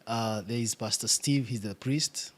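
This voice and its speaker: A man's voice: mid-range voice, fairly even intonation, a little slow. Man hosting a casual podcast interview. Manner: frequent backchannel affirmations, searches for words. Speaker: podcast host.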